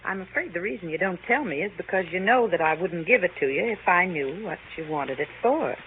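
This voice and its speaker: A woman plays a fretting, soothing mother with a very expressive, swooping voice. She is gentle and easily astonished, in old radio drama style. The recording is tinny, with faint hiss. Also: lowish voice, brisk, natural voice. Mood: gentle concern. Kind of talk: 1930s radio drama acting.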